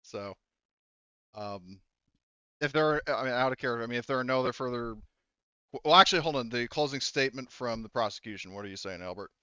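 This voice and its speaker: Dry, clear-voiced man running a game. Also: mid-range voice, quick pace, pauses often, pushed and bright, no room sound, muffled recording. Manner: informal, rambling, profane. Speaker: game master. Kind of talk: casual tabletop game narration.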